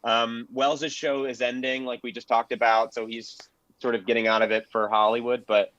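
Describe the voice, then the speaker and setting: The sound is a little dull and very dry. A man with a medium-pitched voice gives casual, analytical panel commentary, qualifying his points as he explains. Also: flat delivery, normal speed, a bit bright, no room sound.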